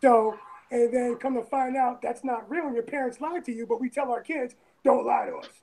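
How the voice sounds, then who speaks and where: A woman from Brooklyn with a raspy voice, talking in a rambling, emphatic way in an interview, often repeating phrases. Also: a little high, fairly even intonation, moderate pace, warm tone, low-quality recording. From Brooklyn, New York. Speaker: interviewee, former firefighter and EMT. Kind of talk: casual interview talk.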